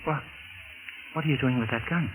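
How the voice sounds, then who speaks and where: Actor as anxious lovestruck man, bright radio voice. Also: medium pitch, close to an everyday voice. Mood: alarmed. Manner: halting, pleading. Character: anxious, lovestruck male lover, pleading for life. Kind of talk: 1940s radio suspense acting. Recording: noisy vintage radio recording.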